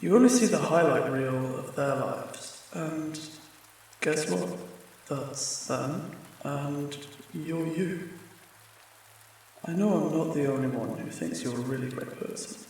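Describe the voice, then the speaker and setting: A man playing a friendly, apologetic sleep paralysis demon in an ASMR comfort roleplay, speaking gently and haltingly, with reassurance. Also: a little high, slight background noise. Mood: reassuring, supportive. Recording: very echoey, distant, rain sounds behind. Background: rain.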